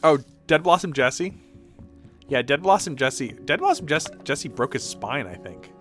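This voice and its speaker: A man's voice: fairly high pitch, sing-song, a little slow, soft background music. A man streaming video games, chatting casually in a rambling way with some swearing. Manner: rambling, profane. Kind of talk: casual livestream chat over gameplay.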